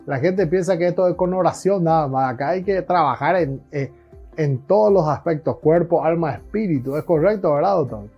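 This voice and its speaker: A man's voice: fairly high pitch, a little fast, slightly rough, soft background music. A man hosting an interview, agreeing often and asking questions with hesitant restarts. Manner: agreeable, many short affirmations, hesitant restarts. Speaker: interview host. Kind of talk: interviewing, affirming. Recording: dull lo-fi sound.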